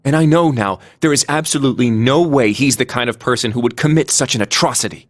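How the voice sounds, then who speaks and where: A man's voice: mid-range voice, fast, dry recording, lightly acted. Man as an earnest young student, dry sound. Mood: regretful, firm. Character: earnest, loyal young male military student. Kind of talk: dramatic video game dialogue.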